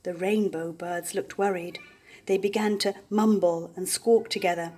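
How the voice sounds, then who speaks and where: An older woman reading a children's picture book aloud with deliberate, theatrical expression. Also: lowish voice, fairly even intonation, darkish tone.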